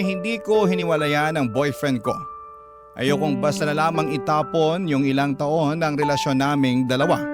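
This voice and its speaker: Filipino radio guy reading a love letter dramatically, slightly high voice, loud music underneath.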